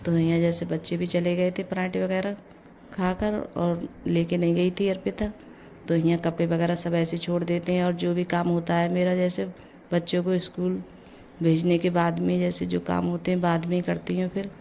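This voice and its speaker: A middle-aged woman with a low, gravelly voice made hoarse by a cold talks through her morning chores in a casual home vlog. Her pitch stays almost flat as she chats along. A faint hum of the house sits behind her. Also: normal speed. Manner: chatty, leans on the filler "jaise". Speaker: vlogger, mother. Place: home kitchen.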